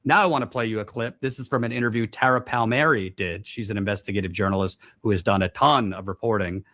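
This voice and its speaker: A man hosting a news commentary show, speaking deliberately and repeating points for emphasis. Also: on the low side, a bit bright, no room sound, studio quality. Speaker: host, commentator. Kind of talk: news commentary to camera, reading out quotes.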